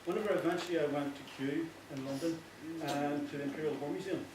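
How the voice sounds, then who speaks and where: A man with a Northern Irish accent giving an informal, earnest speech, often halting and repeating himself. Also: fairly high pitch. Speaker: playwright giving a thank-you speech. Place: echoey indoor hall before an audience. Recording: very echoey, distant, noisy with clicks.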